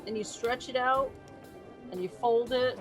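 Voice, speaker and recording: A woman's voice: fairly high pitch, a little slow, over music. A woman playing a tabletop game, talking casually as she joins in.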